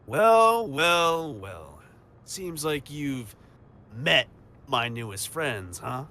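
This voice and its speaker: A man's voice: a little high, very animated, extremely slow, sharp tone, slight background noise, heavily acted. An actor playing a cocky young male supervillain with a casual, theatrical manner in an audio drama. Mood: playful swagger.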